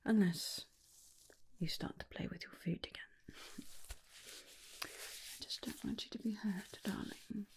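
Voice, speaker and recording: Slow, breathy whispering woman as a doting vampire. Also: mid-range voice. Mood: fondly concerned. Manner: slow, soothing, coaxing with endearments. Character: doting vampire companion, tender, protective, faintly menacing. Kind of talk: ASMR roleplay, personal attention.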